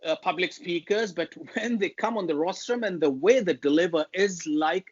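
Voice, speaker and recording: A man's voice: sits high, husky, muffled recording. A man with a Pakistani accent, a native Urdu speaker, talking as an interview guest about public speaking and laying out his points one by one. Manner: measured, emphatic, lists points. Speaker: guest linguist.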